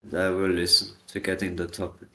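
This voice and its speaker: Tired Austrian man with halting speech. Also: deep voice, monotone, fairly bright tone. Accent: Austrian German. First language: German. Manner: halting, repeats words, apologetic. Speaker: call participant. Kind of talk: casual online debate.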